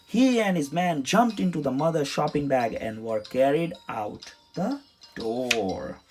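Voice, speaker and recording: Animated man reading a children's storybook. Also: on the high side, a little slow. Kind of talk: read-aloud storybook narration. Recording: music behind the voice.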